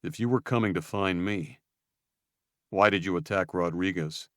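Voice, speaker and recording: A man voicing a stern male leader who questions slowly and quietly with cold menace. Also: dry recording, clean studio sound, lightly acted. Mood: cold, probing. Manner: low, slow, quietly menacing.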